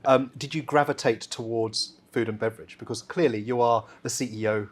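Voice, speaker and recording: Male podcast host, mid-pitched, formal and effusive in tone. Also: fairly even intonation, fairly quick. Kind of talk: podcast interview hosting.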